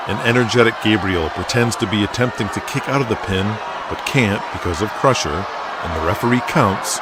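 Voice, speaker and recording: A man's voice: on the low side, a little fast. A man narrating a scripted audio drama. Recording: loud noise behind the voice.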